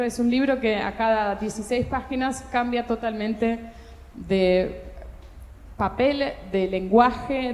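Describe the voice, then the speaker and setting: A woman's voice: fairly high pitch, a little mellow. Woman with Portuguese-tinged Spanish, echoey hall. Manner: thoughtful, hesitant, many filler sounds and restarts. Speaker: publisher on a book fair panel. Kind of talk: panel talk, explaining her work. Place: talk hall at a book fair. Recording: echoey hall with long reverb.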